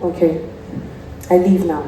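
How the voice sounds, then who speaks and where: A young woman acting a proud, solemn lady in a stage play, speaking deliberately. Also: lowish voice, flat delivery, warm tone, close to an everyday voice. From Nigeria. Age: young adult. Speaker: student stage actor. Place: theatre stage. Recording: echoey stage, far mic, faint music.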